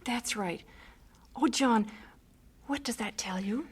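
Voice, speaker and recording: A woman's voice: a little high, slow pace, husky, heavily acted. An actress playing an impatient, headstrong missionary wife and mother in a radio drama, urgent and emphatic. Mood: subdued, devout.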